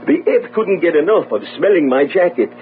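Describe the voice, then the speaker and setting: An actor playing a poor, guilt-ridden sailor in a radio drama, earnest, defensive and pleading. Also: male voice, fairly high pitch, very animated, brisk. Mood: defensive confession. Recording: narrow phone-like radio audio, faint noise.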